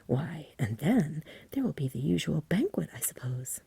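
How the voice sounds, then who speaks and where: A gentlemanly storybook knight, voiced by a woman reader, explaining things in an offhand, practical way. The whisper is very breathy, mellow and close. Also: low voice, sing-song, moderate pace, no echo, heavily acted.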